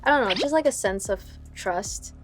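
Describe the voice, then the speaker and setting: A young woman from Vietnam, a singer talking about her music in a casual interview, with a relaxed, hesitant way of speaking full of fillers. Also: medium pitch, moderate pace. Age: young adult. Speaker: singer guest.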